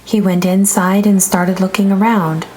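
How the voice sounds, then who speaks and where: A woman narrating a children's book aloud in an expressive storytelling style. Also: mid-range voice, unhurried, a little hiss or hum.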